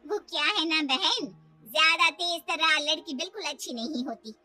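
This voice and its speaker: A woman's voice: high-pitched, moderate pace, music in the background, close to an everyday voice. A woman voicing a gossiping, disapproving neighbourhood woman in a cartoon, with a judgmental manner.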